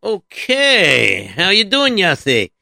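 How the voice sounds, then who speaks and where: A male storyteller hosting a children's story show, patiently and dryly teasing a sidekick who keeps interrupting. His voice is bright and moves a lot in pitch. The recording is close and dry. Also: wildly swooping pitch, slow pace, creaky, dry recording. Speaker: storyteller host.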